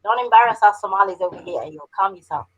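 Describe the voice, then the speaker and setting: A woman's voice: mid-range voice, lively intonation, fast talker, husky, poor audio. A woman on an online panel debate who teases and cuts in on the others. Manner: teasing, interrupting.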